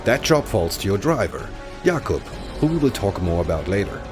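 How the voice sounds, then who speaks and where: Male game presenter, lowish and expressive, scripted explanatory read, music underneath. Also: normal speed. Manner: polished, explanatory. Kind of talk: scripted promotional narration.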